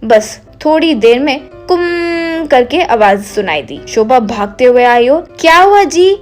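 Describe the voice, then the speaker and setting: A woman's voice: fairly high pitch, lively intonation, slow, darkish tone. A woman narrator reading a scripted comic story. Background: faint music.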